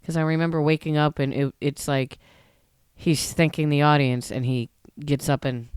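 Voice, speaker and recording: Low-voiced woman chatting casually, close and dry. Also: steady tone, moderate pace, a little mellow, smooth, no echo. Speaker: podcast participant. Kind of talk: casual chat.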